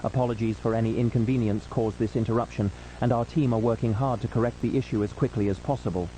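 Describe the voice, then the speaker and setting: A man's voice: medium pitch, fairly even intonation, quick pace, warm tone, a little hiss or hum, rough recording. A man reading a scripted emergency news announcement in a formal, solemn manner as a broadcast announcer.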